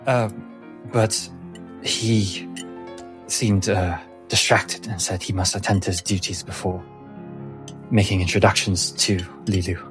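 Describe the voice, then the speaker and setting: A man's voice: deep voice, fairly even intonation, a little slow, pauses often, music in the background, pitched below the performer's own voice. Man playing timid, stammering servant mystic woman. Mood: anxious, reluctant. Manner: hesitant, stammering, very formal and obsequious. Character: timid, deferential female servant mystic, anxiously eager to please.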